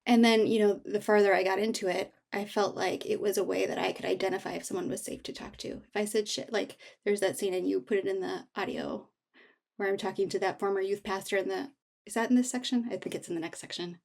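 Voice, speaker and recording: A woman with a markedly creaky voice at middle pitch speaks as an interview guest. She is candid and reflective, feeling her way through personal stories with halting, thoughtful phrasing. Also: fairly even intonation, a little mellow. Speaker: memoir author, interview guest. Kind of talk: casual, reflective personal storytelling.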